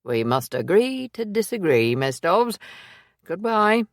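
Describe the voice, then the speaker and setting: A woman's voice: mid-range voice, very animated, takes it slowly, no echo, clean studio sound, voice pushed up. A woman voicing a stern, stubborn male police inspector, speaking in a firm, formal and clipped way.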